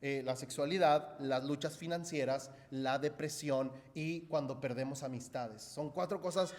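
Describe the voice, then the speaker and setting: A man's voice: mid-range voice, measured pace, darkish tone. A man preaching to his congregation in a conversational, explanatory way, repeating key phrases and often checking in with short tag questions. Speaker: pastor preaching to a church congregation. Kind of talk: conversational sermon with rhetorical questions. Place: church hall. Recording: echoey hall with long reverb.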